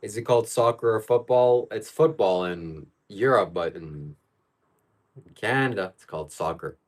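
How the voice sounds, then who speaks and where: A man's voice: on the low side, a little slow. Blunt, opinionated man from Ukraine. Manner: blunt, opinionated, answers chat questions aloud. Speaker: live stream host. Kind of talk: casual live chat reading viewer questions.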